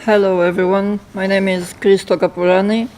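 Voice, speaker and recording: High, even-pitched Greek-accented young man. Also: moderate pace, poor audio. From Greece. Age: 30s. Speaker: patient speaker telling their own story. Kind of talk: personal testimony in a public talk.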